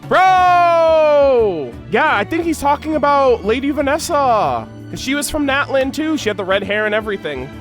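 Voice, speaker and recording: High-voiced excitable guy reacting casually. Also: moderate pace, soft background music. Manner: excitable, slangy, exclamatory. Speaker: gaming video host.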